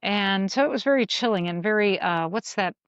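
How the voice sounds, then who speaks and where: A woman co-hosting a podcast, chatting casually in a halting, reflective way about an audio drama. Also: medium pitch, lively intonation, smooth, no room sound, muffled recording. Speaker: podcast co-host.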